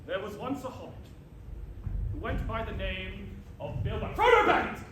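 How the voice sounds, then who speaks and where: Casting: male actor as jovial old pantomime wizard-narrator, high swooping voice, hammy, storytelling to a live house. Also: big pitch swings. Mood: grand storytelling. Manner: hammy, exclaiming, drawn-out storytelling. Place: live stage before an audience. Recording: very echoey, noisy live theatre recording.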